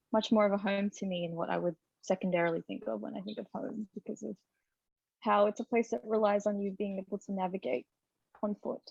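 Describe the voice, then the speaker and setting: A woman from the Philippines, speaking as a conference panelist in a thoughtful, steady way with some fillers. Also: medium pitch, creaky, slightly rough, no room sound. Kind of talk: reflective personal talk. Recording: dry muffled lo-fi audio.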